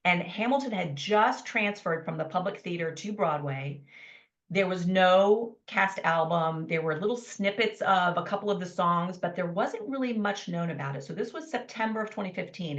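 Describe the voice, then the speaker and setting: Woman, 50s, podcast guest, animated storyteller, muffled recording. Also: on the low side, normal speed. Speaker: guest, life coach. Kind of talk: conversational interview storytelling.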